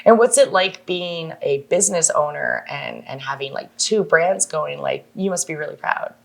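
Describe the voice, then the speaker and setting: A woman's voice: sits low, moderate pace, vocal fry. A woman with a Canadian accent hosting a casual interview, informal and affirming, using plenty of slangy interjections. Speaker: podcast host.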